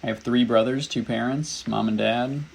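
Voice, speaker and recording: Relaxed young male host with a gravelly edge, faint background noise, casual conversation. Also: mid-range voice, normal speed, rough recording. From St. Louis, Missouri. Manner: relaxed, hesitant with many ums. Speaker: podcast host.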